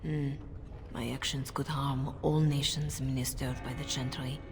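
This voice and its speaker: An actress playing a grave, principled churchwoman who guards secrets, speaking in measured, formal words in a video game. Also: rumbling low, flat delivery, big-room sound, over music.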